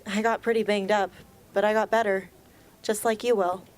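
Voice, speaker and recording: Woman playing teenage rebel girl, guarded, self-doubting, amateur radio drama delivery, medium pitch. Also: flat delivery, moderate pace, lightly acted. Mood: subdued, unsure.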